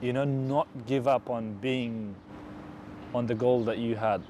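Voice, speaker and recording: A man's voice: medium pitch, steady tone, unhurried, noisy background. A young man from Afghanistan in his twenties, chatting casually in a documentary interview with many fillers. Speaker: interviewee, engineering student.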